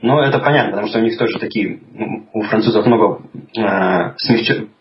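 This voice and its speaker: A man talking in a relaxed conversation, thoughtful and hesitant, with many restarts as he explains things. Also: lowish voice, a little fast. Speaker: guest. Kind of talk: casual conversation. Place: lives in England. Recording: slightly dull lo-fi audio with faint noise.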